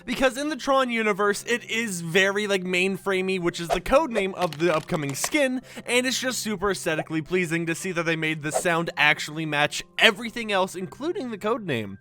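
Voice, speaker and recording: A man's voice: high voice, bright, forceful tone. A young man hosting a casual gaming news video, chatty and rambling, leaning on fillers and a sleepy morning tone. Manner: chatty, rambling with many likes and basicallys. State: sleepy, recorded early in the morning.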